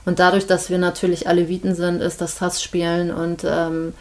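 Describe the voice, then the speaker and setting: Thoughtful woman with a low voice. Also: monotone, normal speed, a little mellow. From Germany, Hamburg. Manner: thoughtful, many hesitations. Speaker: midwife, podcast guest. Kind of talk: casual interview chat.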